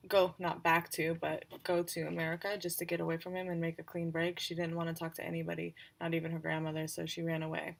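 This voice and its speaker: A woman's voice: fairly low pitch, creaky. A woman informally explaining things on a casual tabletop roleplaying livestream, thinking aloud as she goes. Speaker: tabletop roleplayer presenting characters.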